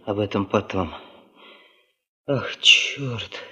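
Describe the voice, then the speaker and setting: Casting: a bewildered young man, muted, unhurried and halting, in a reverberant radio play. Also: lowish voice, bright, forceful tone, full character voice. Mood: stunned, lost. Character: young male geologist, subdued, bewildered, polite. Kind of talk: Soviet radio drama acting.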